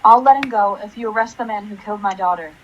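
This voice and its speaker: A woman's voice: mid-range voice, quick pace, muffled recording, slight background noise, close to an everyday voice. An actress playing a grief-crazed, vengeful mother in a radio play, intense and commanding. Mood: grim, determined. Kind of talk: dramatic radio play acting with narration.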